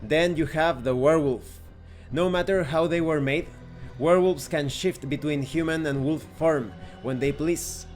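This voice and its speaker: A man's voice: on the high side, a little slow, faint music behind. A man narrating a scripted tabletop game review in a methodical, explanatory way, as the host.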